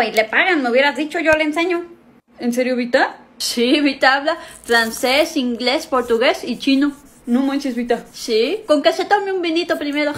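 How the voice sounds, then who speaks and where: High-voiced young woman acting a sketch. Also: a little hiss or hum. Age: young adult. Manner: lively, quick character switches. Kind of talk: comedy sketch playing several characters. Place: small indoor room at home.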